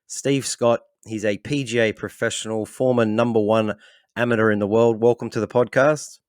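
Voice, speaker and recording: Male podcast host, low-ish voice, casual and matey, dry close mic. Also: fairly even intonation, normal speed, no room sound. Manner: easygoing, uses "mate", hedges questions with fillers.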